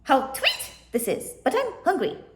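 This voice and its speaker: A woman voicing a hungry female parakeet with a high, shrieking voice in a storybook reading. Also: wildly swooping pitch, measured pace, a bit bright, full character voice. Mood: whiny. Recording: echoey room.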